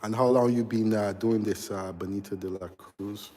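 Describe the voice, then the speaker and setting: Low, flat-voiced man. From Barbados. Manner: halting, chatty, reads out and answers viewers' comments. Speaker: electrical contractor. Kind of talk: casual live-stream walkthrough. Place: large unfinished house under construction, indoors.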